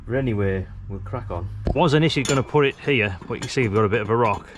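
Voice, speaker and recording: A British man with a fairly low voice talks through a practical job step by step. His delivery is informal and chatty, like an easygoing DIY presenter. There is some noise behind him in the on-location recording. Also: fast. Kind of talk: casual hands-on how-to commentary. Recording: clearly noisy on-location recording.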